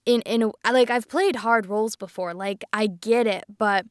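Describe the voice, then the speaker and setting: A woman's voice: on the high side, normal speed, clean tone, dry recording, studio quality. A woman voice actor chatting casually and earnestly in a cast discussion. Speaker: voice actress on a cast panel. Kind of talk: casual chat.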